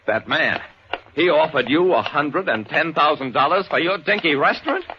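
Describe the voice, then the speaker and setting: A male private detective , played by an actor, narrates his casebook with wry exasperation and very lively intonation. The old radio recording is muffled and noisy. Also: on the high side, moderate pace, lightly acted. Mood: exasperated.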